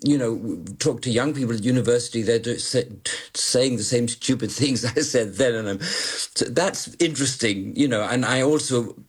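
A man's voice: medium pitch, moderate pace, few pauses, husky. A man in his sixties born in London, a journalist guest talking in a rambling, self-deprecating way with many restarts. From born in London, raised in Malaysia. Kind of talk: radio interview guest. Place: remote, joining from afar.

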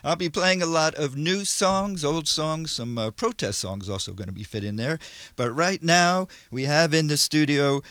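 A man's voice: on the high side, expressive, moderate pace, a bit bright, dry recording. A man hosting a folk music radio show, interviewing a guest in the studio in a friendly, curious way. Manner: friendly, curious, stumbles over foreign words. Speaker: radio host.

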